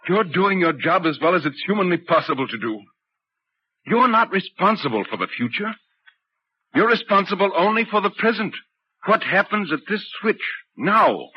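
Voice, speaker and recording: An actor in a 1940s radio drama playing a sympathetic, rational visitor, reasoning earnestly and reassuringly. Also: male voice, sits high, lively intonation, normal speed. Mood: firm, reassuring. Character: sympathetic, rational male visitor calming a troubled man. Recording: muffled, noisy vintage 1940s radio recording.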